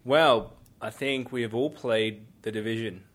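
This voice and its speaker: A man's voice: mid-range voice, unhurried, a bit bright. Casting note: male podcast co-host, dry and matter-of-fact about games. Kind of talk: casual gaming discussion.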